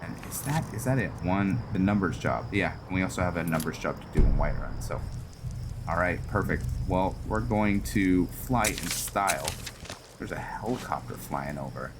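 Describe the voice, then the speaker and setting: A man giving casual roleplay gameplay commentary, thinking aloud in a halting way as he hosts. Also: low-pitched, lively intonation, noisy background. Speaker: gaming video host. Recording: music under the voice.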